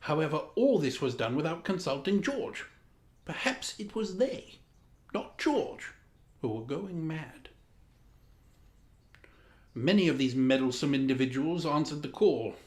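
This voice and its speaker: Man putting on a pompous high-pitched lord. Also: animated, a little mellow. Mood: arch, indignant. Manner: pompous, dramatic, deliberate diction. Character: pompous Georgian English lord reading a mad royal tale. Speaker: storyteller, host in the guise of a lord. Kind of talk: theatrical children's storybook narration. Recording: roomy echo, music underneath.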